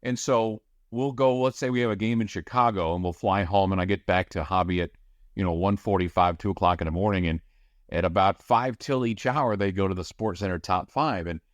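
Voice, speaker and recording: A man's voice: low voice, fairly quick, no echo, studio quality. A middle-aged man, a radio play-by-play broadcaster, giving chatty, rambling interview answers with many restarts. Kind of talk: casual podcast interview answers.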